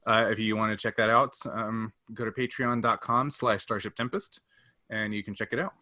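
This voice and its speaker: A man's voice: lowish voice, fairly even intonation, moderate pace, bright, forceful tone, dry recording, studio quality. A man talking casually as a podcast host and game master, explaining things in an informal way.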